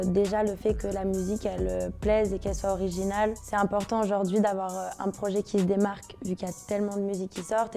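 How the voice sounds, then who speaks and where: Mellow-voiced young woman, easygoing chat. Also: mid-range voice. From Brazil. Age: 20s. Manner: relaxed, slangy, frequent hesitations. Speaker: singer interviewed about her career. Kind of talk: casual interview answers.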